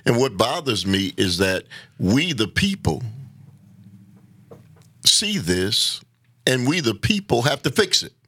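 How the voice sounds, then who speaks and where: A man speaking earnestly as a guest in a casual conversation, halting and repeating words as he builds his point. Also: medium pitch, big pitch swings, sharp tone, slightly rough, no echo.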